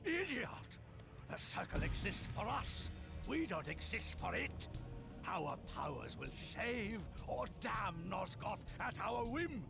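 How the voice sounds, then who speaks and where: An actor playing a haughty, menacing sorcerer in a video game, with theatrical, imperious delivery. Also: male voice, high voice, sing-song, slow, over music, full character voice. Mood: haughty outrage. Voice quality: creaky, gravelly. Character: mad scheming sorcerer, haughty, menacing, cruel. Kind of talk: dramatic video game villain acting.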